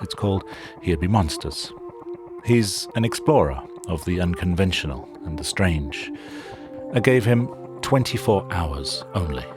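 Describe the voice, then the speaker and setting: A man hosting a sound documentary, narrating a scripted introduction in a measured, wry storytelling manner. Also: low voice. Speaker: show host and narrator. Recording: narration over loud music.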